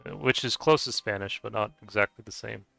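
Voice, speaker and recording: A man's voice: on the low side, steady tone, a little fast, creaky, bright, forceful tone, no room sound. A man streaming a game, chatting casually with viewers and stumbling over how to say names. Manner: hesitant, stumbling over name pronunciations, chuckling. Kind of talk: casual live-stream chat. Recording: dry close mic with faint music behind.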